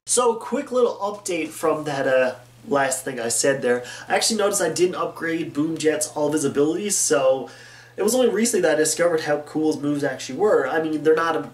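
A man's voice: on the high side, a little fast, faint music behind. A young man in his twenties, nasal from the flu, talking casually and animatedly to camera as the host of a gaming channel in a small bedroom. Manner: animated, gesturing with both hands. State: nasal, getting over the flu. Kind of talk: casual direct-to-camera talk. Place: small bedroom with posters on the wall. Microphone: webcam or camera a few feet away. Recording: roomy, distant camera audio.

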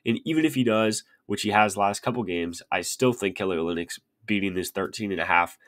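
A man's voice: fairly low pitch, fairly bright tone. A young man who says he is sick, talking casually to his webcam about betting picks with lots of "literally" and "like". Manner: rapid, filler-heavy, repeats "literally". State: sick, sniffly. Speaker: sports betting picks creator. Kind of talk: casual talk to camera. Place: small room indoors.

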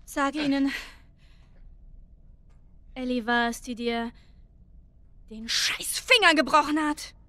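Bright-voiced defiant teenage girl. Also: high voice, takes it slowly, long pauses, no echo, full character voice. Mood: furious. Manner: sarcastic, blunt, foul-mouthed. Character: defiant, tough teenage girl held captive. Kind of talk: dramatic video game cutscene acting.

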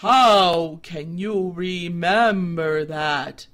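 A woman voicing a calm, wise old male scarecrow in a children's story reading, speaking very slowly. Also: on the low side. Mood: stern calm.

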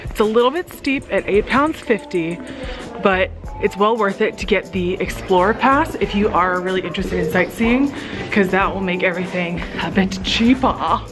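Female travel vlogger, twenties, mid-pitched, animated casual storyteller, outdoor camera sound. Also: moderate pace, over music. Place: outdoors at castle ruins and scenic viewpoints. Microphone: camera at arm's length.

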